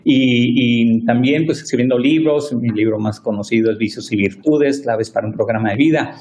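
A man's voice: medium pitch, normal speed. Male priest, easygoing and jokey, video-call audio. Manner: relaxed, jokey, laughs while talking.

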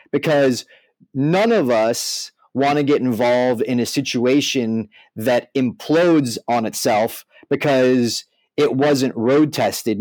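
A man co-hosting a podcast, making his points with deliberate, emphatic phrasing. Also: mid-range voice, a little mellow, dry recording, low-quality recording. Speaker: podcast co-host. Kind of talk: podcast discussion, mixing read-out points with casual commentary.

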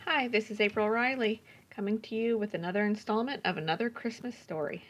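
A woman's voice: mid-range voice, a little fast, background noise. A woman reading a story aloud as a scripted audiobook-style narration. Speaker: guest story reader.

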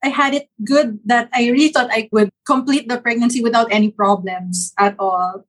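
A woman in her forties tells a personal story earnestly as an interview guest. Her voice is a bit husky and set slightly high for a woman. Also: steady tone, normal speed, poor audio. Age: 40s. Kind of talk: casual interview chat.

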